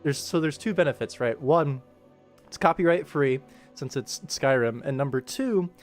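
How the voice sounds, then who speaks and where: A man's voice: a little high, lively intonation, moderate pace. Casual male streamer thinking aloud. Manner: informal, rambling, thinking aloud. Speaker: streamer, animation director. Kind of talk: casual livestream work commentary. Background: game ambient music playing softly.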